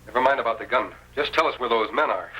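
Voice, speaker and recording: A man's voice: medium pitch, fast talker, pushed and bright, slightly rough, low-quality recording. An actor playing a masked lawman hero in an old Western radio drama, with an American accent and an earnest, authoritative delivery. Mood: reassuring, brisk. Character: masked lawman hero, steady, commanding, upright. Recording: old radio recording, faint noise.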